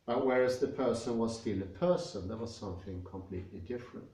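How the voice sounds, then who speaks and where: A man with a Swedish accent giving a spoken lecture, thoughtful and pausing often with fillers. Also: on the low side, warm tone, a little hiss or hum. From Sweden. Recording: echoey room, mic at a distance.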